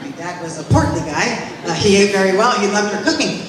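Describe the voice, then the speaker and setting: Woman, loud voice, storytelling speech, echoey event hall, distant camera. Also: medium pitch, brisk, background noise. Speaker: restaurant owner speaking at event. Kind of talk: personal story speech to audience. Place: large reverberant event hall on stage. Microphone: handheld microphone, far camera.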